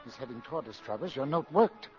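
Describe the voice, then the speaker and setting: An actor playing a blunt, impatient, trigger-happy agent sidekick in a 1950s radio drama. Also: male voice, mid-range voice, animated, fast, natural voice. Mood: urgent. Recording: dull old radio recording, faint noise.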